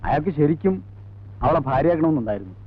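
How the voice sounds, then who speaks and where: An actor playing a forthcoming witness in a 1980s film drama, explaining things in an animated way. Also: male voice, fairly high pitch, fast. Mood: recollecting. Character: forthcoming male witness, a friend recalling confidences. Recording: tinny band-limited old film soundtrack.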